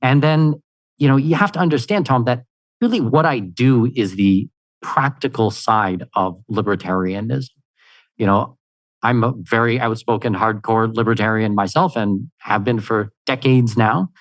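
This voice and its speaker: A man talks as a podcast guest, keen and lively, with casual fillers scattered through. His voice sits at an ordinary middle pitch and sounds dry and close, with no room around it. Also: moderate pace, dry recording, poor audio. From Canada. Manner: energetic and upbeat, many "you know" fillers. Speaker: guest, expat consultant. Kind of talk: conversational interview answers.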